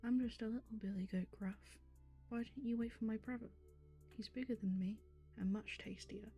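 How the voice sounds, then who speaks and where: Woman voicing a scared little storybook goat, timid and squeaky. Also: steady tone, moderate pace, warm tone, soft background music, lightly acted. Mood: frightened. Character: small frightened young billy goat.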